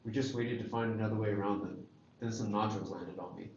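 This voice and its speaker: A man's voice: fairly low pitch, steady tone, brisk, a little mellow, lightly acted. A man acting a wary, wounded teenage goth boy in a screenplay table read, subdued and guarded. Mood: hurt, subdued. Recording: echoey room, dull lo-fi, faint noise.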